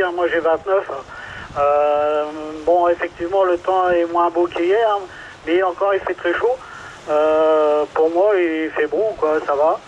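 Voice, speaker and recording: A man with a French accent in casual CB radio chat, halting and searching for his words, heard through a radio transmission. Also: sits high. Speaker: amateur CB radio operator. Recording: dull CB radio reception with faint noise.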